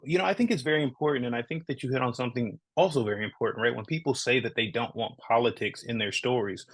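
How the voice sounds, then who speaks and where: A man's voice: mid-range voice, a bit bright, husky. A man from Southern California, a comic creator speaking earnestly in a casual podcast interview, often checking in with "right?".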